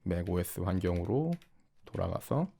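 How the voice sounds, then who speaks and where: A man narrating a software tutorial as an instructor, explaining step by step in polite, formal speech. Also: sits low, expressive, normal speed, gravelly. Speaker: programming instructor. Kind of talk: tutorial narration over screen demonstration.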